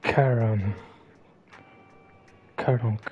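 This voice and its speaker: Very low-voiced, unhurried Brazilian woman. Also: steady tone, warm tone, muffled recording. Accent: Brazilian Portuguese. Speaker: streamer reacting to a game. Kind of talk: casual gameplay commentary.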